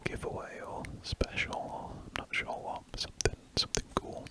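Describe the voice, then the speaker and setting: A man whispering throughout as he chats casually while drawing, an ASMR host who rambles and corrects himself mid-sentence. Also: normal speed. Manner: soft unvoiced whisper, rambling and self-correcting.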